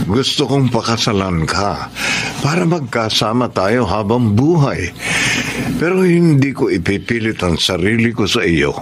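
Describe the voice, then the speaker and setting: Wounded male suitor, earnest, low voice, very measured pace, radio drama, noisy background. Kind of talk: Tagalog radio drama acting. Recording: clear background noise.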